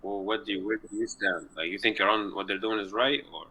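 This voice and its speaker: A man's voice: lowish voice, fairly even intonation, fairly quick, sharp tone, slightly rough, low-quality recording. A man from Egypt in a casual online chat, earnest and rambling, sometimes searching for words. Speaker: chat partner.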